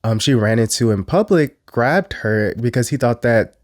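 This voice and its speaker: A man with a congested, stuffed-up voice gives chatty commentary. He is blunt and a bit crude, with a mid-range pitch. His voice is close and dry, with no room sound behind it. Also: animated, normal speed, darkish tone, no echo. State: congested from a cold. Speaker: YouTube commentator. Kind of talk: casual reaction commentary.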